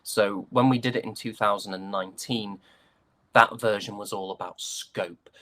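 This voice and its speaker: Man from the UK, lowish voice, casual interview guest, thoughtful, halting delivery. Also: fairly even intonation, a bit bright. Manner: hesitant, thoughtful, trailing repetitions. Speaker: interview guest, stage actor.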